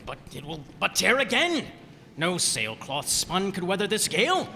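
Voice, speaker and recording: Man playing an urgent, high-pitched alarmed sailor. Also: big pitch swings, moderate pace, creaky, exaggerated voice. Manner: urgent, shouted archaic speech. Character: alarmed sailor pleading with his captain. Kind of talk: old-time radio drama acting. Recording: hall reverb, faint background noise.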